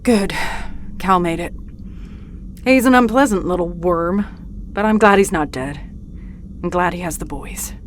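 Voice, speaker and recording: An actress playing a blunt, bitter, defiant leader of underground outcasts in a superhero audio drama. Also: female voice, lowish voice, measured pace, pauses often, slight background noise, close to an everyday voice. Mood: anxious relief. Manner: blunt, embittered, forceful.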